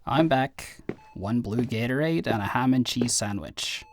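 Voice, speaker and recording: A man's voice: medium pitch, animated, a little slow, slight background noise. A man acting in an audio roleplay as an earnest, insistent brotherly friend, talking in a persuasive, conversational way. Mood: hesitant.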